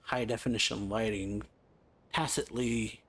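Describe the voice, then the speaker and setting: A man doing a casual let's play, reading game dialogue aloud in a halting, offhand way. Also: fairly low pitch, fairly even intonation, fairly bright tone. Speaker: let's player. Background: game music.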